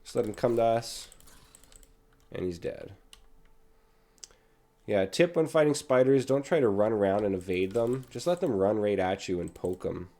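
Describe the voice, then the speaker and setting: A young man with a cold and a raspy throat, casually talking through a video game as he plays. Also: lowish voice, normal speed, long pauses. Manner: relaxed, chatty, talks while playing. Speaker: gaming channel host. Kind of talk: casual gameplay commentary.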